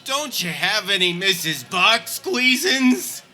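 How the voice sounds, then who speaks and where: A man's voice: high-pitched, big pitch swings, takes it slowly, sharp tone, faint music behind, full character voice. A man playing a grumpy, complaining, old-fashioned husband in a comedic radio play, grumbling as he talks. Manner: grouchy, grumbling.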